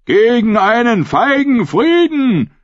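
A man voicing rough seafaring warriors in an audiobook, shouting acclaim in drawn-out rallying cries. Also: very high-pitched, very animated, dry recording, highs cut off, pitched above the performer's own voice, full character voice. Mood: roaring, defiant. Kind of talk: dramatic audiobook character voice.

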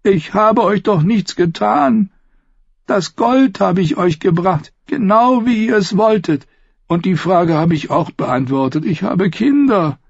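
A man's voice: expressive, measured pace, gravelly, slightly rough, dry recording, lo-fi sound, full character voice. A man voicing a terrified, pleading captive in an audiobook, stammering and whining in desperate self-excuse. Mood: panicked pleading. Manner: stammering, whining, shrill when panicked. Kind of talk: audiobook character voice.

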